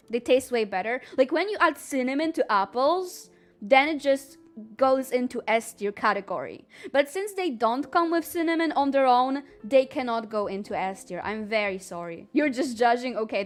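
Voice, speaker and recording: A young woman streaming live, chatting casually with viewers, laughing often and talking earnestly about her rankings. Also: a little high.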